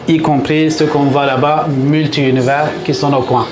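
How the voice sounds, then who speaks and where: A man from Istanbul with a Turkish accent, explaining his paper marbling craft to visitors in his small workshop, in halting French with many pauses. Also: a little high, little pitch movement, echoey room, a loud crowd. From Turkey (Istanbul).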